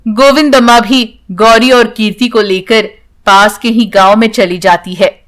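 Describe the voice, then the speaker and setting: A woman's voice: a little high, fairly even intonation, measured pace, a bit bright. A woman reading a scripted children's story as narrator.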